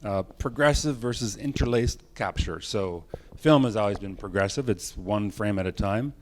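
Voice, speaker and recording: A man's voice: lowish voice, a little slow, a little hiss or hum. A man giving an informal conference talk on technique as a presenter, speaking in short phrases. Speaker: cinematography presenter.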